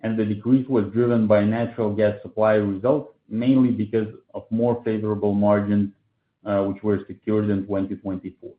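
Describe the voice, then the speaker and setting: A man giving a formal, scripted company results presentation in a measured way, as an executive on an earnings call. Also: lowish voice, little pitch movement. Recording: tinny phone-like line, roomy echo.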